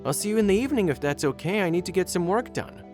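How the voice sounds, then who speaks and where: A man's voice: high voice, lively intonation, fast talker, music in the background, voice pushed up. Man putting on a young mother's voice, raised and light, earnest, kind.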